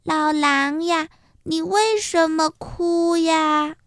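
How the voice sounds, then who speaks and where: A woman's voice: extremely high pitch, fairly even intonation, extremely slow, fairly bright tone, no room sound, clean studio sound, voice pushed up, exaggerated voice. A woman voicing an honest, gullible, kindly male giraffe in a children's story, earnest and naive. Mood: kind, hesitant.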